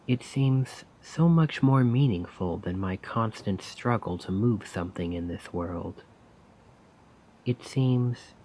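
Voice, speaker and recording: A man acts out a self-doubting, melancholy poet in a soft-spoken ASMR roleplay. The voice is husky and breathy, and it moves very slowly. He muses wistfully in formal, poetic language. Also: fairly low pitch, heavily acted, rough recording. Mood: musing.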